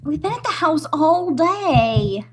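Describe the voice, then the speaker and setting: A woman's voice: sits high, very animated, takes it slowly, exaggerated voice. A woman playing a nervous, easily spooked young wife in an old-time radio comedy, anxious and wryly pleading. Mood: uneasy, pleading.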